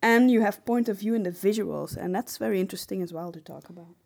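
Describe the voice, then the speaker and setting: A woman's voice: mid-range voice, fast, gravelly, a little mellow. A woman co-hosting a casual podcast, talking thoughtfully with some hesitant phrasing. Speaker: podcast co-host.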